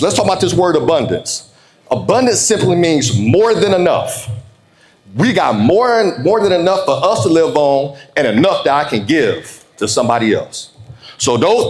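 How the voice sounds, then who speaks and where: Man, middle-aged, motivational speaker, medium pitch, emphatic, informal and folksy, slows on key phrases. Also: low-quality recording. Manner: informal, folksy, calls on listeners for response. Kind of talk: motivational keynote speech to an audience.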